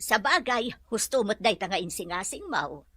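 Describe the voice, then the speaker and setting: A woman playing a bright, chatty household worker. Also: medium pitch, big pitch swings, moderate pace, slightly rough, dry recording. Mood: curious. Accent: Filipino, Ilocano. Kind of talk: Ilocano radio-style drama acting.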